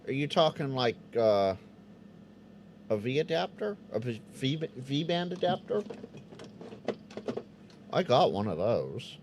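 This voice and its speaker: A man hosting a live stream, chatting loosely with his viewers and sounding out Morse code as he goes. His pitch jumps up and down, and he restarts his words often. A faint hum of room ambience sits behind him. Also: very animated, takes it slowly, pauses often, darkish tone. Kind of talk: casual live-stream chat reading viewer comments.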